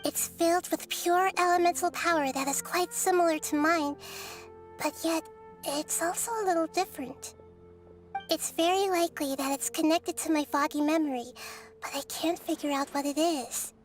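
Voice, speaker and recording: A woman voicing a gentle, wise, young-looking girl goddess in a video game, speaking softly and thoughtfully. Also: very high-pitched, moderate pace, soft background music. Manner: soft, thoughtful and reassuring.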